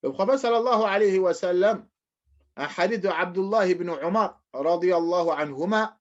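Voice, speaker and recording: A man's voice: high voice, normal speed. A man giving a religious lecture, repeating phrases, often restarting, and addressing his listeners as brothers and sisters. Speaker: preacher. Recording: dull lo-fi recording.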